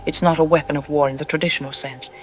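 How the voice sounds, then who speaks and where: A man playing a troubled archaeology expert in an apocalyptic audio drama news interview, speaking gravely and deliberately with ominous pauses. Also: a little high, fairly even intonation, slight background noise, natural voice, rough recording. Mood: solemn.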